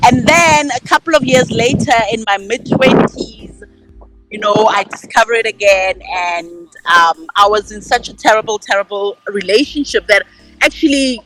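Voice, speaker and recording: A woman's voice: on the high side, normal speed, over music, low-quality recording. A woman in her thirties from South Africa with a South African accent, candidly telling her story as an interview guest. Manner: bright, candid, repeats words.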